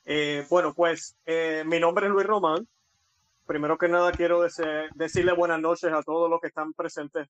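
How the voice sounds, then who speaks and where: A man with a Puerto Rican accent, a guest speaking in a halting way with frequent pauses over a remote call. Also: on the high side, flat delivery, a bit bright, smooth, no room sound, dull audio. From Puerto Rico. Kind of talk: casual interview talk.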